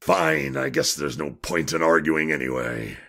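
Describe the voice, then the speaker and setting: A man acting as a grumpy, sarcastic companion in an audio drama, with a gravelly drawl. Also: a bass voice, wildly swooping pitch, unhurried, fairly bright tone, exaggerated voice. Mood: resigned.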